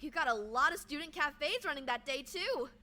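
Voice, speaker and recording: An actress plays an enthusiastic young student in an audio drama. Her voice is high and bright, bouncing up and down with breezy eagerness. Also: expressive, normal speed. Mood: eager, breezy.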